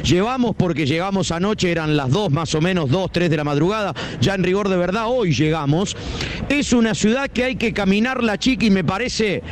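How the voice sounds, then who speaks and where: High-voiced Rioplatense street reporter. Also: male voice, slight background noise. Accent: Rioplatense Spanish. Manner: vivid, unhurried, descriptive. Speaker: field reporter. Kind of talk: descriptive live report. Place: city street outdoors.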